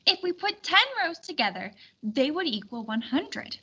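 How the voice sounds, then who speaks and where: A woman's voice: sits high, big pitch swings, normal speed, pushed and bright, lo-fi sound, pitched above the performer's own voice, heavily acted. A woman voicing a harried, fretful medieval lady planning a feast in a storybook. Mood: working it out. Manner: exclaiming, fretful.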